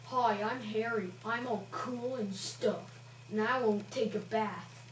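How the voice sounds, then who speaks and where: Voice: mid-range voice, takes it slowly, reverberant, far from the mic, lo-fi sound, slight background noise, natural voice. A woman voicing a cheeky, cocky young boy who thinks he is cool, in a homemade puppet show.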